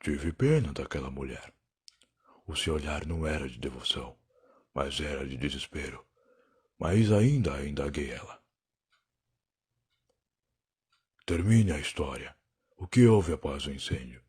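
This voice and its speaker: A Brazilian man playing a weary, defiant alien time-traveler in an audio drama, husky and gravelly, with a brooding, sarcastic, theatrical delivery. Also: very deep voice, animated, normal speed, darkish tone, full character voice. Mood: somber. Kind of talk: dramatic first-person audio drama narration.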